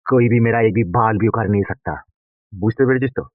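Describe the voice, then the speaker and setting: A man's voice: mid-range voice, quick pace, dry recording, close to an everyday voice. A man voicing a boastful, threatening corrupt politician in a comic cartoon. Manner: threatening, swaggering. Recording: dull lo-fi sound.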